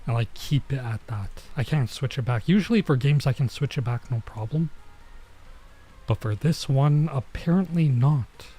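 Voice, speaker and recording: Mellow-voiced man chatting through a game stream. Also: medium pitch, normal speed, husky. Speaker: streamer, VTuber playing a visual novel. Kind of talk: casual gameplay stream commentary.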